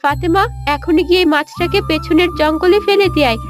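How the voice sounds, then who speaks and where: Casting: young cartoon elder sister, very high voice, bold, firm, speaks her mind. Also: a little fast, soft background music. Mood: scornful. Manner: firm, scolding. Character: bold, dutiful young elder daughter, outspoken. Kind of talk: Bengali cartoon voice acting.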